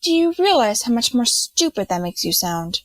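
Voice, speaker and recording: A woman's voice: a little high, sing-song, normal speed, dry recording. A woman playing a haughty, dry-witted rich young student who scolds sardonically in an audio drama. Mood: exasperated, scolding.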